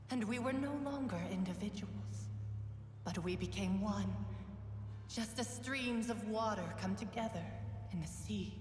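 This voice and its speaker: A woman's voice: a little high, natural voice. A woman voicing a cold, accusing spirit of many murdered women in a video game, speaking with solemn condemnation. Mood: solemn, eerie. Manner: slow, solemn, condemning delivery. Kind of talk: dramatic video game voice acting. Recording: echoing reverberant hall, distant, faint noise.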